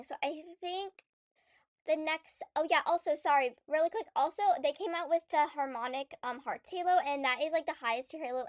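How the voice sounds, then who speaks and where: Young woman vlogger, high voice, stuffy with a cold, chatty with lots of fillers; dry close mic. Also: no room sound. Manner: chatty and rambling, many fillers and restarts. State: congested from a cold. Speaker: gaming YouTuber. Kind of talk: casual vlog commentary.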